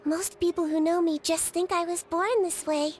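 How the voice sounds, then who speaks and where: A high, sweet Melusine doctor. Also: female voice, fairly even intonation, normal speed, darkish tone, slight background noise. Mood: gentle. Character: small, gentle, kind female Melusine doctor. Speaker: game character. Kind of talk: gentle storybook narration. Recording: game audio with clear music behind.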